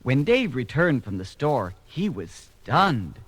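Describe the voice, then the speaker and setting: A man's voice: medium pitch, very animated, slow. A man narrating a children's read-along story from a script. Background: music.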